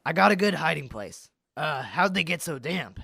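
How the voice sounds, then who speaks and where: Gravelly, sharp-voiced gangster boss played by a man. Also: fairly high pitch, expressive, normal speed, no echo, studio quality, exaggerated voice. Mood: wary. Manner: curt, tough, wary. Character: suspicious, hard-boiled male gangster boss running a roadhouse. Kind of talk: old-time radio crime drama acting.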